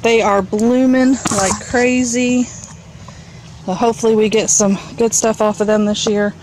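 A woman's voice: fairly high pitch, steady tone, unhurried. A woman giving a casual, off-the-cuff tour of her garden as a home gardener, talking outdoors in a backyard into a handheld camera. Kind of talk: casual off-the-cuff vlog narration. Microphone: handheld camera mic, held by speaker. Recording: clear outdoor ambient noise, handheld camera.

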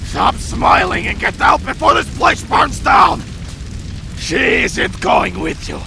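A man voicing a furious, vengeful horned ex-boyfriend monster in a game mod, seething and menacing in a gravelly, strained, halting voice. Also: extremely high pitch, wildly swooping pitch, bright, forceful tone, faint music behind, full character voice. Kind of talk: video game mod voice acting.

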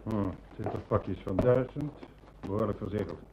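A man in an old Dutch radio drama playing a brisk, needling bank manager. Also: lowish voice, highs cut off, lightly acted. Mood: businesslike. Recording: noisy old radio play recording.

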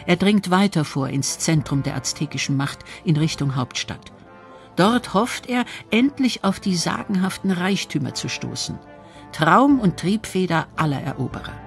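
A man's voice: sits high, sing-song, moderate pace, creaky, soft background music. A man reading scripted documentary narration as the narrator of an audio feature.

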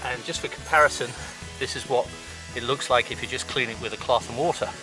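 A middle-aged man hosting a sailing vlog, talking in a relaxed, matter-of-fact way outdoors in a boatyard and narrating voice-overs. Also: mid-range voice, brisk, over music, poor audio. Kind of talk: casual chat and voice-over narration.

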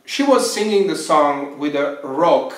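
Italian-accented man in an echoey room. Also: a little high. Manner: animated storytelling, quick bursts. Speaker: band founder and guitarist. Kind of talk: casual sit-down interview. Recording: echoey room, mic set far.

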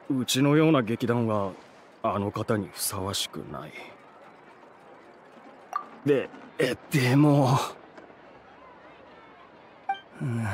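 Earnest, polite theater man, fairly high-voiced. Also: a little hiss or hum. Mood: hopeful. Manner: courteous, earnest, deferential. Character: earnest, polite young male theater troupe member. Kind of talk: Japanese anime game voice acting.

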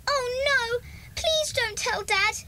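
A woman's voice: extremely high pitch, slow pace, bright, forceful tone, full character voice. A woman playing a cheeky, mischievous, wheedling little girl in a children's audio drama.

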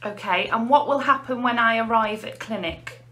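A woman's voice: fairly high pitch, moderate pace, bright, forceful tone. English woman asking interview questions. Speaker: interviewer, clinical nurse specialist.

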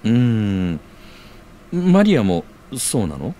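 An actor playing a gentle, considerate older-brother type with hesitant phrasing in an audio drama. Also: male voice, fairly low pitch, huge pitch swings, takes it slowly, full character voice. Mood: gentle, thoughtful.

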